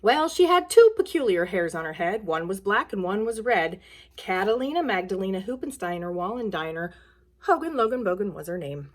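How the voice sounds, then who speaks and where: A woman's voice: mid-range voice, very animated, a little fast. A woman speaking casually and simply to children, in the manner of a school nurse at story time. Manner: plain, simple, child-directed phrasing. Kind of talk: casual talk to children and read-aloud storytelling.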